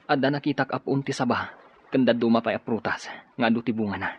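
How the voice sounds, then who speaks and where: A man's voice: mid-range voice, quick pace, husky, lightly acted. A man playing a resourceful castaway in a radio drama, reassuring his companion in a matter-of-fact way. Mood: practical. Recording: dull audio with faint ambient noise.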